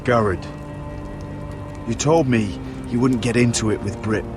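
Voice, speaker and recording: A brooding young sworn brother , played by a male actor, speaks seriously and with concern at a middling pitch. It is dramatic game dialogue with music and rain behind it. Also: measured pace, close to an everyday voice. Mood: disappointed.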